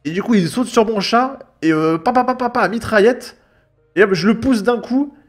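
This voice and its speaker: A man's voice: high voice, normal speed. A man with a French accent giving casual, colloquial video commentary in a mocking, emphatic manner. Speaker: host of a reaction video channel. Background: background music.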